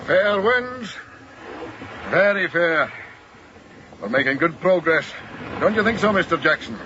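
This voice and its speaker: Ship's mate: chatty, proud, very animated delivery, radio drama, muffled sound. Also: male voice, a little high, unhurried. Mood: proud, effusive. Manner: effusive, opinionated, repeats himself. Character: garrulous ship's mate, proud of his vessel. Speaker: actor in a sea drama. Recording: muffled, noisy ship deck ambience.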